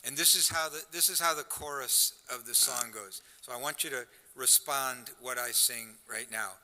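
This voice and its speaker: A man leading a congregational song, speaking in a relaxed, slightly hesitant way and repeating words, in a synagogue sanctuary. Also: medium pitch, moderate pace. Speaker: song leader. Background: faint guitar.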